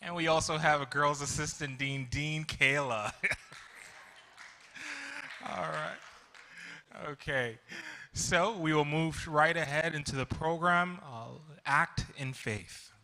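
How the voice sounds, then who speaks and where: A man hosting a church program, introducing school students and staff in a relaxed, casual way with many fillers, speaking into a handheld mic in a large sanctuary. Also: on the high side, slow pace, slight background noise.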